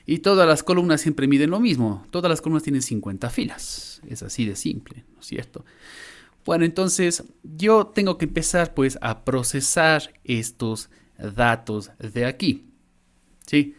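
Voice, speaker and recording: A man's voice: fairly high pitch, expressive, unhurried. Latin American man teaching informally online. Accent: Latin American Spanish. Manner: informal, frequent check-in tags. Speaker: university lecturer. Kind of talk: explanatory online lesson. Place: indoor room, online class.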